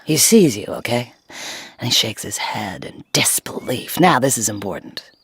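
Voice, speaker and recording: An actress playing a brazen, lusty and bossy wild nymph in a comedic audio drama, with breathy, drawn-out, seductive delivery. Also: female voice, very deep voice, expressive, heavily acted. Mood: sultry, conspiratorial.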